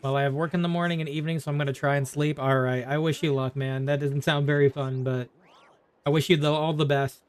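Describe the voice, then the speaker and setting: A man's voice: a little high, fairly even intonation, quick pace, clear, resonant tone. A man chatting casually on a game livestream, rambling and thinking aloud as he plays. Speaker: game streamer. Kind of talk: casual livestream chat while gaming.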